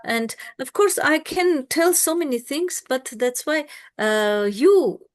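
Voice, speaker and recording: A woman from Armenia, a native Armenian speaker, lectures on history over a video call. She talks very slowly, pausing often with fillers, in a fairly high voice. The sound is dry with no room echo. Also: lively intonation. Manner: slow, halting with many fillers. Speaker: guest lecturer.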